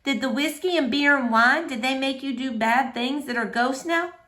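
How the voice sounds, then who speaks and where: A woman's voice: sits high, moderate pace, fairly bright tone. A woman reading a children's novel, voicing an earnest, curious young girl with an innocent, polite, questioning manner. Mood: hesitant probing. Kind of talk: children's novel read aloud.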